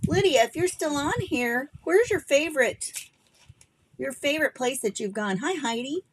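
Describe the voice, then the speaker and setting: A middle-aged woman with a gruff voice, chatting and rambling casually as she hosts a craft livestream. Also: sits high, animated, normal speed, background noise. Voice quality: gravelly, gruff voice. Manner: chatty and rambling, thinking aloud while working. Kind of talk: casual craft demo chat.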